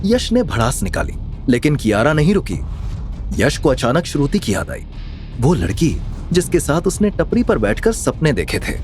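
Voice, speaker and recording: Animated male dramatic story narrator. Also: a little high, normal speed. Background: music.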